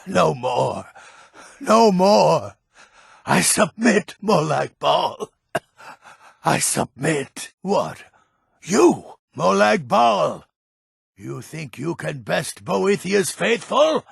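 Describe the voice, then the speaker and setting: An actor playing a grumpy, defiant old man in a fantasy video game, with a raspy, gravelly voice and a gruff, theatrical, emphatic delivery. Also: fairly high pitch, sing-song, very slow, pauses often, no room sound, clean studio sound, heavily acted. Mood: defiant, desperate. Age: older.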